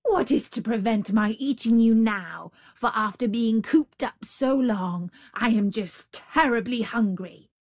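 A woman's voice: fairly high pitch, measured pace, few pauses, a little mellow. A woman voicing a sly, boastful, hungry male tiger in a storybook tale, pleading and gloating with a growl. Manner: pleading, then gloating and menacing. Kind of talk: storybook character voice.

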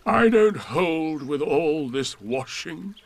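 A gloomy old grey donkey, acted by a man in a storybook reading. The voice is gravelly and grumbling, and it crawls along at a slow pace. It sits higher than you might expect for such a mope. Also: expressive, full character voice.

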